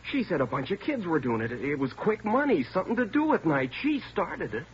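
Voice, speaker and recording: A man's voice: high voice, very animated, fairly quick. A young car thief in a vintage radio crime drama, his voice swinging widely between curt sneers and frantic pleas. The broadcast is dull and carries a faint hiss. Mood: pleading. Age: young adult. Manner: sullen and curt, breaking into frantic pleading. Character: scared twenty-year-old male car thief, sullen then pleading. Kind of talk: 1950s radio drama acting.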